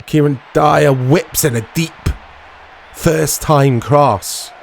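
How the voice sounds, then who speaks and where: A man giving casual commentary on a football video game in short reactive fragments, his voice strained and a little hoarse. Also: on the high side, slow, slight background noise. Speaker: gaming channel host.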